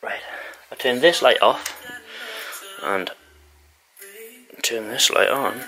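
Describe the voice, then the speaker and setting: A man's voice: medium pitch, slow, bright, forceful tone. A young man chatting casually to camera in a small home garage gym, rambling and self-deprecating, recorded on the camera's own mic. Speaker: fitness vlogger. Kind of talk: casual vlog talk to camera. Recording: noisy home camera audio.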